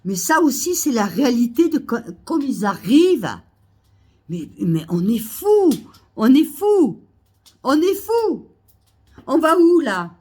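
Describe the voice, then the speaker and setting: A woman's voice: high-pitched, very animated, slow. Indignant woman ranting with a cold. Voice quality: hesitant restarts and fillers. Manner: indignant, repetitive, rising to loud outbursts. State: has a cold, coughing. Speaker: vlogger. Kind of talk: ranting monologue to camera. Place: Almeria, Spain.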